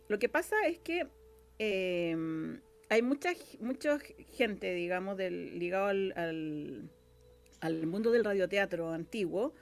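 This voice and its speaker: A woman with a Chilean accent talking casually as an interview guest, often halting and rephrasing herself. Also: mid-range voice. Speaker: guest, radio drama scriptwriter.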